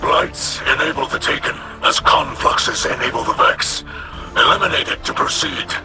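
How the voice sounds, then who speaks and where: A man voicing a proud alien warrior-noble in a video game, formal and solemn, with a breathy, hoarse rasp. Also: on the high side, animated, close to an everyday voice. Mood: grave. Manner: formal, solemn, measured diction. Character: grave, proud male alien warrior-noble of a fallen house. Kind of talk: scripted video game voice acting. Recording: game music behind the voice.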